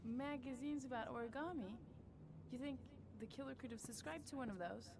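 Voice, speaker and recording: A woman's voice: brisk, gravelly. An actress playing an inquisitive young woman in a naturalistic video game scene. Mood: curious. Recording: rumbling noisy game ambience.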